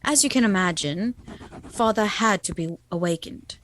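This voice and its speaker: An actress playing a haunted young gentlewoman recounting a gothic tale in a radio play. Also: mid-range voice, expressive, moderate pace, lightly acted. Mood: reflective. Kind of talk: dramatic first-person narration in a radio play.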